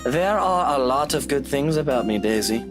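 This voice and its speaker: A man's voice: medium pitch, expressive, normal speed, music in the background, close to an everyday voice. An actor playing a vain, sardonic man in a visual novel, with dry sarcasm and bravado over self-loathing. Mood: cocky. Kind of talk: dramatic visual novel voice acting.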